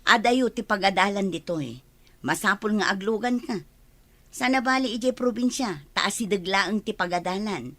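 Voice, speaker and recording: A woman's voice: mid-range voice, animated, normal speed, a bit bright. An actress playing a stern mother who is leaving her child with a relative, in a Filipino radio drama. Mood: firm, evasive.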